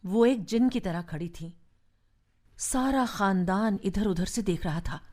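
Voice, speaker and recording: An actress playing a woman who recounts her family's past in a radio drama, in measured storytelling style. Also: mid-range voice, darkish tone, faint music behind, lightly acted. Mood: reminiscing.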